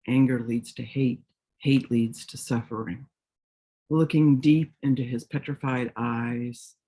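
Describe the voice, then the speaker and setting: A man's voice: mid-range voice, warm tone, poor audio. A man reading a poem aloud as its poet. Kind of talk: poetry reading.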